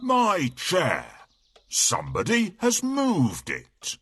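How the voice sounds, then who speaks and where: A man voicing a big, stern father bear with a husky voice in a storybook. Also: high-pitched, wildly swooping pitch, very slow, fairly bright tone, exaggerated voice. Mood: grumbling.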